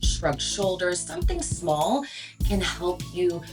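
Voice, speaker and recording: A woman's voice: lowish voice, big pitch swings, slow, music in the background, poor audio. A woman hosting and coaching voice-over in a casual, chatty, emphatic instructional style. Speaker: voice-over coach and show host. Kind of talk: casual instructional video chat.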